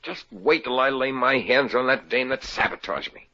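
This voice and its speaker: A sulky, sarcastic young salesman, voiced by an actor with a bright, mid-pitched voice. He grumbles in dry wisecracks. The sound is dull and lo-fi, like old-time radio. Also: moderate pace, close to an everyday voice. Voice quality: sardonic, grumbling. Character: grumpy young salesman boyfriend. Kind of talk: 1950s radio comedy acting.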